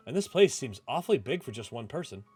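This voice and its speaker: A man voicing a cheerful, caring young woman in a visual novel reading, eager, softly insistent and quick to apologise. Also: mid-range voice, sing-song, slightly rough, soft background music. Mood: curious, teasing.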